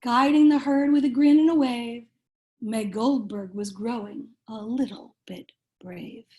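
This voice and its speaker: A woman reading a children's storybook aloud in a measured, expressive storytelling manner. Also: a little high. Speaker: cantor reading a bedtime story.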